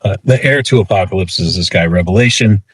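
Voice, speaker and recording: A man with a fairly low voice talks as a guest in a casual interview. His explanations ramble along informally, hedged as he goes, and the sound is close and dry. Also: quick pace, breathy edge, no room sound, low-quality recording. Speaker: interview guest, comics writer.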